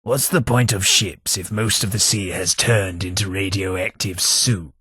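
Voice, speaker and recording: An English actor voicing a posh, sardonic ghoul gentleman companion in a video game, with a plummy, dry delivery. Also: deep voice, unhurried, slightly rough, no room sound, heavily acted. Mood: wry. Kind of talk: game voice lines.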